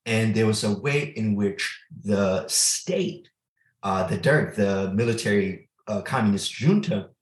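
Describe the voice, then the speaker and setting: A man who grew up in Ethiopia, an author speaking slowly and thoughtfully as a guest in an interview. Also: medium pitch, roomy sound, low-quality recording. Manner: slow, thoughtful, with frequent restarts. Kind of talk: reflective interview answer.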